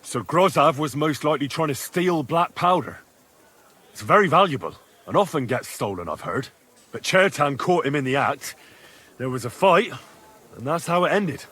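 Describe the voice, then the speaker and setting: An actor playing a young, common medieval soldier, frank and matter-of-fact, in a dramatic video game. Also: male voice, fairly high pitch, animated, normal speed, sharp tone, slightly rough, full character voice. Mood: frank, assured.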